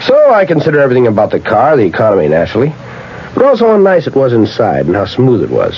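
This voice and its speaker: An actor playing a plainspoken, proud car owner in a 1970s radio commercial, conversational and emphatic. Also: male voice, mid-range voice, huge pitch swings, fast talker. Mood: skeptical, then satisfied. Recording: muffled old radio with faint hiss.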